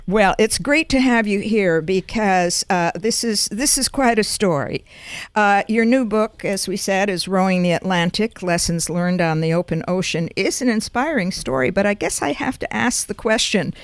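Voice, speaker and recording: A woman hosts a radio interview show, her voice smooth and fairly low. The sound is dry and close on a studio mic, and she phrases her questions deliberately, often going back over her words. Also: lively intonation, no room sound. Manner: deliberate, repeats words when asking.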